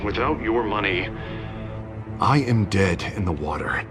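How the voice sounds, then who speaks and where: An actor playing a middle-aged political candidate who talks hesitantly and apologetically, pleading for support. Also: male voice, on the low side, lively intonation, unhurried, over music. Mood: uneasy.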